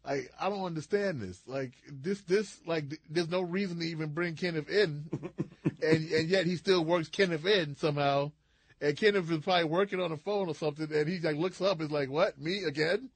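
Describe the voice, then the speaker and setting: A man's voice: on the high side, moderate pace, breathy edge, no room sound. A man bantering casually as a radio sidekick, exclamatory and incredulous, repeating himself for emphasis.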